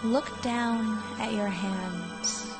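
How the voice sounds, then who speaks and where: A woman narrating a guided meditation in a soothing, measured manner with long pauses. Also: mid-range voice, fairly even intonation. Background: loud New-age music.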